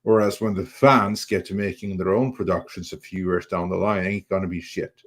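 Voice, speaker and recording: A man's voice: sits low, steady tone, moderate pace. A man who speaks natively, chatting bluntly and casually on a podcast panel. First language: Norwegian.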